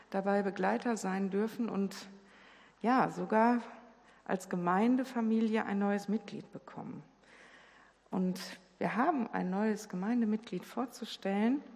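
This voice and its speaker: A woman addresses her congregation in an earnest, gentle tone. Her voice has a gravelly texture. She is recorded in a church with a long reverb. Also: medium pitch. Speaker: pastor leading the service.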